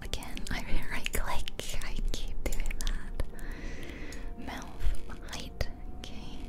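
A woman whispering breathily and close to the mic, musing aloud in an ASMR gaming commentary. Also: drawn-out words. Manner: musing aloud, asking herself questions. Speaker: host of an ASMR gaming video. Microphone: close ear-to-ear ASMR microphone.

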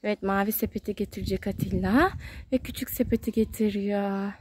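A woman's voice: mid-range voice, steady tone, brisk, darkish tone. A woman talking casually to her young child in a coaxing, sing-song way as she films outdoors in a garden on a phone. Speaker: mother filming her child at play. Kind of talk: casual chat with a toddler. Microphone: handheld phone, behind the camera. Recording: faint outdoor background noise, clicks.

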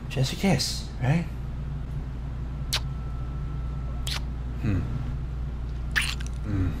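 A young man playing a flustered, tough-talking bully with a soft crush in an ASMR boyfriend roleplay, stammering and halting bashfully. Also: medium pitch. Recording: roomy echo, noisy ambience behind.

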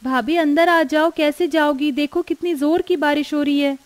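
A woman voicing a hungry, excitable young girl in a cartoon family drama, eager and exclaiming. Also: high-pitched, normal speed, voice pushed up, lightly acted. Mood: excited. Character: hungry, excitable young girls, poor sisters-in-law.

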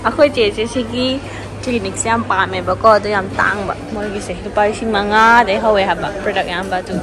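A young woman vlogging in casual chat, talking quickly and laughing often, filmed on a handheld phone in a car and then a shopping mall. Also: mid-range voice, fairly even intonation. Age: young adult. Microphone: handheld phone camera. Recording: busy mall crowd and road noise.

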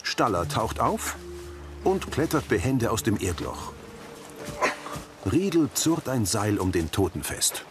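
A man's voice: on the low side, sing-song, slow, long pauses, noisy background. A man reading scripted audio description of scenes as a narrator. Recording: music under the voice.

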